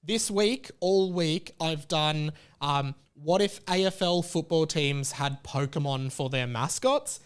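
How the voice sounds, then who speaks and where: A man's voice: fairly high pitch, takes it slowly, hardly any pauses, smooth. A man hosting a podcast, chatting casually and rambling with lots of fillers and restarts. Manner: rambling, self-interrupting, many fillers. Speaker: podcast host.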